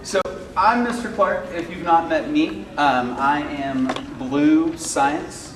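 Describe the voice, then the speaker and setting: A man who teaches fifth grade addresses a room of parents in a loud, casual way, full of fillers. His voice sits a little high for a man. He is picked up from across an echoey classroom, with noise behind him. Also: slow pace. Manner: loud, informal, chatty with many fillers. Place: school classroom or hall. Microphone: far camera microphone. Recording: echoey room, far from the mic, noisy.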